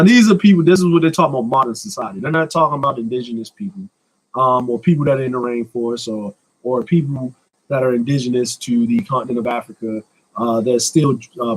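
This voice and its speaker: A man's voice: mid-range voice, rough recording. An American man in his thirties chatting casually as a live stream host, answering questions in a blunt, slangy, joking manner with some swearing. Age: 30s.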